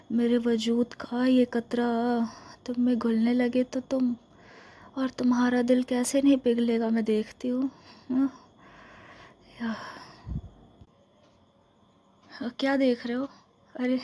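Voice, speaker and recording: Breathy, slow-talking vampire girlfriend, acted by a woman. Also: fairly high pitch. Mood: possessive, secretive, then sweet, coaxing. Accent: Indian. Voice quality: breathy, soft, often whispering. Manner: intimate, coaxing, lingering repetitions. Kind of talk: soft-spoken romantic ASMR role-play in Hindi and English.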